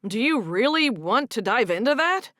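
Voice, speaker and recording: A woman's voice: fairly high pitch, big pitch swings, moderate pace, a bit bright, dry recording, clean studio sound, pitched above the performer's own voice. A woman voicing a hurt young man. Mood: uneasy. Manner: earnest, wounded.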